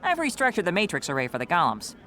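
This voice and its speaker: A small, smug genius inventor from a fantasy game. The voice is high and quick with wildly swooping intonation, condescending, over faint ambience. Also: male voice, wildly swooping pitch, sharp tone, slight background noise, exaggerated voice. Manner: condescending, brisk. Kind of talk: dramatic fantasy game acting.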